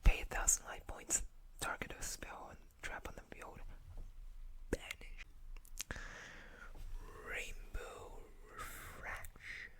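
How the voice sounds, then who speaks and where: Bright, breathy whispering ASMR host. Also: slow, long pauses. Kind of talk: whispered ASMR, reading trading card text with casual commentary.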